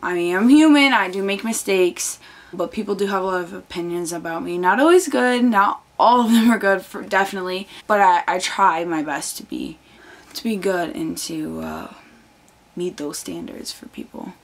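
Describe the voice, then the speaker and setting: A woman's voice: lowish voice, lively intonation, normal speed. A teenage girl losing her voice, chatting earnestly to camera from her bedroom with lots of fillers. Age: teens. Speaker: vlogger. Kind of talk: casual chat to camera. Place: bedroom, indoors. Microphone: camera on desk, close framing.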